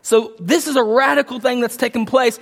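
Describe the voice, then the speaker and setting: A man preaching a verse-by-verse sermon, his voice a little lower from illness, earnest with frequent restarts and rising emphasis. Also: fairly even intonation, fairly quick, vocal fry, long reverb tail. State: sick, voice deeper than usual. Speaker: pastor.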